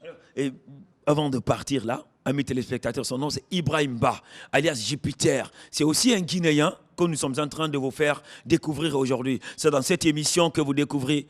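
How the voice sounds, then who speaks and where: A man's voice: on the high side, brisk. Declamatory Guinean male TV host. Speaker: TV show host. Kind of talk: interviewing a music artist on a TV show. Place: at the artist's home.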